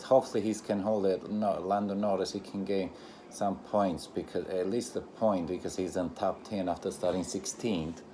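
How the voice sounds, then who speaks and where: A man with a low-pitched, soft-edged voice and non-native English gives casual live race commentary. His phrasing is halting and repetitive, with loose grammar. There is faint noise behind him. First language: not English. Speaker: reaction video host.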